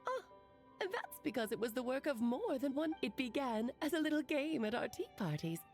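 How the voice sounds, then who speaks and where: A playful grown-up witch in a high, swooping voice. She is breezy and eccentric, telling things with theatrical flourish in anime game style. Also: big pitch swings, normal speed, soft background music. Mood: storytelling. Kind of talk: anime video game voice acting.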